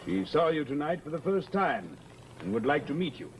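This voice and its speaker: A sinister, grandiose mesmerist with pompous, formal diction from old radio horror. His slightly high voice swings widely in pitch over a faintly noisy recording. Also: sing-song, normal speed. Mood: stiff, formal. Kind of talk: old-time radio horror drama acting.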